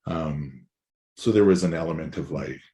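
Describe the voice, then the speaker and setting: A man in his forties, a musician guest with a raspy, hoarse voice, talking in a loose, rambling way that is sprinkled with swearing. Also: very deep voice, moderate pace, dark tone. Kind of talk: casual chat.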